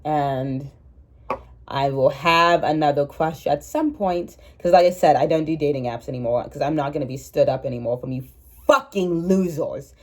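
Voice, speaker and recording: Stuffy-nosed girl in her 20s just rambling to her phone, kinda low voice, laughs at herself a lot. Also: normal speed. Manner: rambling, candid, laughs at herself, swings into loud high mock voices. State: congested. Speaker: vlogger. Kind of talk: casual confessional chat to camera.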